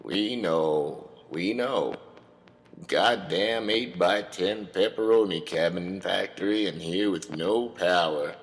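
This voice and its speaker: A man playing a gruff, weary mountain father, stern and protective, in a comedic radio drama. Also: low voice, takes it slowly, in a large hall.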